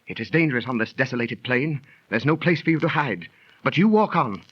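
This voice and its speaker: An actor playing a stubborn, resolute ancient Teuton warrior with formal, earnest speech in a 1930s radio drama. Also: male voice, mid-range voice, lively intonation, brisk, no room sound, natural voice. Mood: urgent. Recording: dull, lo-fi 1930s radio recording.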